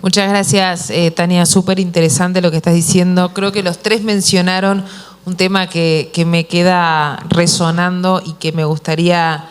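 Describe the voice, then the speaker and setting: A woman's voice: fairly low pitch, flat delivery, moderate pace, slight background noise. A woman from Uruguay with a Uruguayan accent, moderating a business panel and posing questions thoughtfully, with frequent fillers and restarts. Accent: Uruguayan Spanish.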